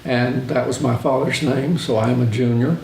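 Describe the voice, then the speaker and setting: A man, telling of his birth in a measured, hesitant way during an oral history interview. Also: medium pitch, steady tone, creaky, noisy background. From North Carolina. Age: 80s. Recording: roomy, from a distance.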